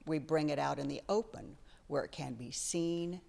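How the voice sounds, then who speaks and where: A woman's voice: low voice, normal speed, vocal fry, mellow. A woman, a church member, reading quotations aloud in a solemn, scripted way. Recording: long hall reverb.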